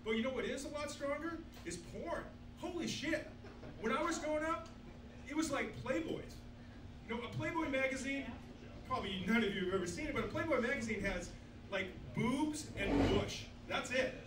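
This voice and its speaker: A man in his sixties works a small club stage with a handheld mic, trading in crude, self-deprecating punchlines. His voice sits high for a man. The recording is roomy and distant, with a noisy bed under it. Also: lively intonation, moderate pace. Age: 60s. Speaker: stand-up comedian. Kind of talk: stand-up comedy set. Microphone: handheld mic, filmed from far back.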